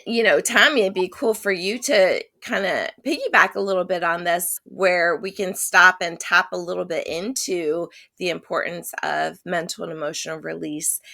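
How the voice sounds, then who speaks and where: A woman's voice: on the low side, gravelly, a bit bright. A woman speaking as a holistic healer guest in an interview, emphatic and repeating her key phrases. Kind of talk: conversational interview.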